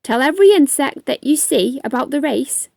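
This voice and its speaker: Cocky little boy ant, mellow voice, brags a lot, woman reading it. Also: high voice, lively intonation, moderate pace, vocal fry, no room sound, studio quality, pitched above the performer's own voice. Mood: challenging. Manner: bragging, scornful. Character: boastful impatient young male ant.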